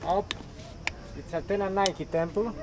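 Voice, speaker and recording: A man working as a guard at an ancient site, explaining haltingly outdoors in English. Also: sits high, steady tone, a little mellow, a little hiss or hum. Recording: music in background.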